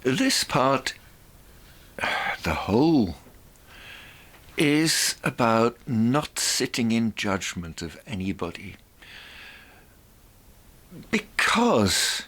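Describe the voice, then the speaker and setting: A man presenting a reflective monologue in a theatrical, deliberate way, with rhetorical asides. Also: medium pitch, very animated, fairly bright tone.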